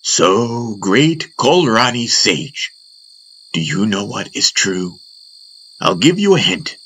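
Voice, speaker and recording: A man's voice: on the low side, sing-song, takes it slowly, pushed and bright, exaggerated voice. A man voicing a serene, playful spiritual master who teaches emphatically in a fantasy audiobook. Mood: teasing. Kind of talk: audiobook character acting.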